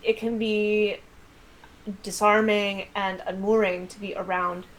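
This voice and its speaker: An American woman chatting casually as a guest author, telling stories in an animated, self-deprecating way with some swearing. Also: medium pitch, takes it slowly, low-quality recording. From United States. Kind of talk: casual livestream chat.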